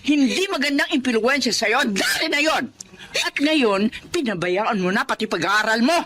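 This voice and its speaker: A worried Filipino mother in a radio serial. Her voice is high and moves a lot, anxious and emotional in its delivery. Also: animated, normal speed, fairly bright tone, a little hiss or hum. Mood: scolding, upset. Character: worried middle-aged mother caught between husband and daughter. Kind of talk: radio drama acting.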